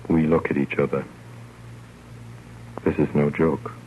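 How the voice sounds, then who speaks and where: A fond, wry father narrates an old radio story in a very deep, measured, theatrical voice. The sound is muffled with faint noise. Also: flat delivery. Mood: wry narration.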